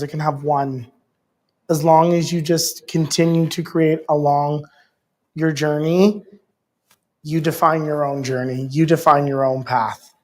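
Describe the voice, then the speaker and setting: Panel moderator, earnest and affirming, addresses the room and the home audience. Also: medium pitch. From Canada. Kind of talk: heartfelt panel talk.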